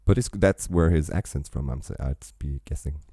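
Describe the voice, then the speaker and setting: A man with an extremely deep, dark voice hosts a casual reaction show, rambling through chatty asides. His voice is recorded close and dry. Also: normal speed, dry recording. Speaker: reaction channel host.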